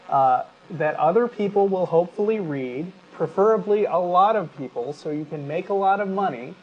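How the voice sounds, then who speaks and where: A man with a somewhat high voice delivers commentary as a talk show co-host. He is deliberate and rhetorical, with a sardonic edge. The audio is a little dull and lo-fi. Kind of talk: opinionated commentary, reading excerpts aloud.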